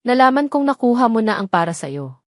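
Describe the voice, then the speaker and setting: A woman's voice: fairly high pitch, very animated, brisk, no echo, clean studio sound. Woman voicing an earnest, remorseful man in love. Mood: sincere. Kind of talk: romantic audio drama.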